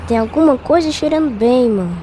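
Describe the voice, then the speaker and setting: Curious, soft-toned young boy. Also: high voice, expressive, fairly quick, slight background noise. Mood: eager. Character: curious young boy, bereaved twin brother. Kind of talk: radio drama acting.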